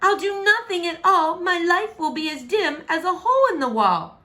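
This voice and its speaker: A woman's voice: very high-pitched, lively intonation, normal speed, pushed and bright, voice pushed up, exaggerated voice. A woman voicing a stubborn, earnest young princess in a children's verse storybook, with theatrical, exclamatory delivery. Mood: dismayed.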